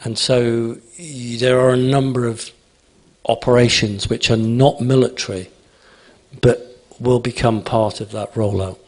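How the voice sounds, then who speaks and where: Husky-voiced man lecturing to an audience. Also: medium pitch, fairly even intonation, a little slow, a little mellow. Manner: emphatic, repeats phrases, asks "okay?". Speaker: conference speaker. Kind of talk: answering audience questions at a talk.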